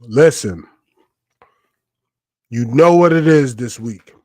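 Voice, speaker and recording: A man's voice: mid-range voice, lively intonation, takes it slowly, dark tone, dry recording, studio quality. A man hosting a live podcast in laid-back, slangy casual chat, sounding nasal and congested from a sinus infection. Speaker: podcast host.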